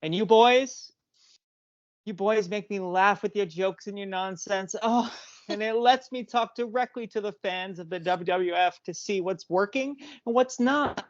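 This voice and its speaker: A woman's voice: mid-range voice, measured pace, no room sound, lightly acted. Comic audio play: bitter, chatty female shop owner played by a woman, profane rambler, dull dry recording. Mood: bitter, gossipy. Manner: rambling, repeats herself, profane. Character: chatty, bitter married female video store owner and wrestling boss.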